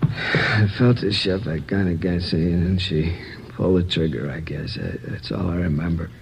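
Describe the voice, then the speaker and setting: A shot robbery victim, gravelly and low. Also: male voice, normal speed, slight background noise. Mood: weary. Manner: weak, worried, chatty. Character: beaten, shot male robbery victim in hospital bed. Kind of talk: 1950s radio drama acting. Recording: muffled old radio recording.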